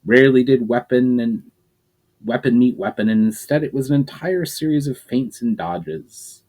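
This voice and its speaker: A man reading a fantasy novel aloud as a storyteller, expressive, with occasional slips and restarts. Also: mid-range voice, moderate pace.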